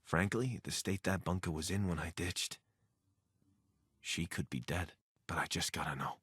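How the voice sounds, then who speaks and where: Game soldier, deserter pilot, lowish pitch, animated delivery, conversational, earnest, dry close recording. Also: male voice, normal speed, slightly rough, no echo, heavily acted. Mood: earnest, regretful. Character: anxious, wry male deserter soldier and pilot. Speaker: voice actor for a companion character. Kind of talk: video game character dialogue.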